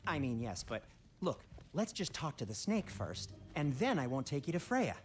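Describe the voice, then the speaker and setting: An actor playing a fussy, nervous, evasive dwarf who hedges and over-explains, as in video game dialogue. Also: male voice, on the high side, very animated, moderate pace, background noise. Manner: hedging, stammering, over-explaining. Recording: music playing behind the voice. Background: background music.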